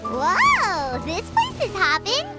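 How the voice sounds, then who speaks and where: A woman voicing a tiny, excitable, childlike fairy companion in an animated video game. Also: slow, over loud music, exaggerated voice. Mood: excited. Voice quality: squeaky, childlike. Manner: speaks of self in third person, exuberant.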